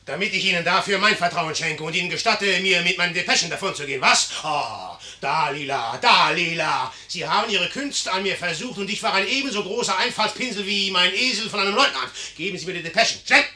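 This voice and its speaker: An actor playing a vain, hot-tempered young general in a theatrical 1950s German radio play style, moving between condescension and bluster. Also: male voice, sits high, brisk, few pauses, bright, forceful tone. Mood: furious.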